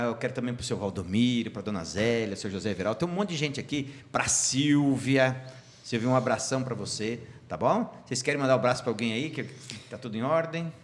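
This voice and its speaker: A man from Brazil leading a Sabbath school lesson on a church channel, chatty and joking with his co-hosts. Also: mid-range voice. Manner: chatty and warm, sending greetings and joking. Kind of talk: casual Bible study chat.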